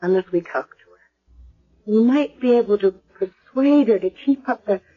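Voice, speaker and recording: A woman's voice: mid-range voice, a little slow, husky. An actress playing an earnest, worried woman doctor in a 1970s radio drama. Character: concerned woman hospital doctor. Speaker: hospital doctor. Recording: dull lo-fi vintage radio recording.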